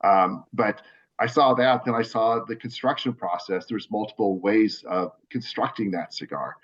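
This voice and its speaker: A man with a lowish voice tells his story in a loose, rambling way, full of restarts and fillers. He is on a video call, and the sound is dull and lo-fi. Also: normal speed, a bit bright. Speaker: cigar brand owner. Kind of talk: casual video call interview.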